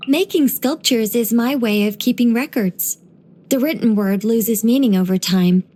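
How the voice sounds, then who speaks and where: A woman voicing a gentle, wise young angelic woman in game voice lines, soft and wryly musing. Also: a little high, moderate pace, natural voice.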